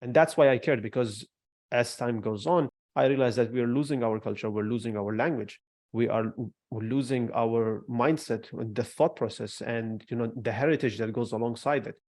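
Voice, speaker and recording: A man raised in the UAE speaks as a podcast co-host in a casual discussion. His talk is earnest and a bit halting, full of restarts and "you know.". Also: mid-range voice, a little mellow.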